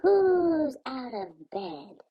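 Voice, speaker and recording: A man's voice: very high-pitched, sing-song, dull audio, voice pushed up, heavily acted. A man voicing an eerie, menacing tentacled monster with an icy, hissing voice in a storybook. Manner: drawn-out, menacing.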